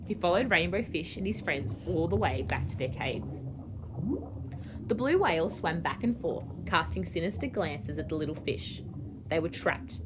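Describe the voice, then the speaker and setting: An Australian woman reads a children's storybook aloud like a primary school teacher. Her voice sits a little low but swings expressively up and down. There is clear noise in the background. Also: lively intonation, moderate pace.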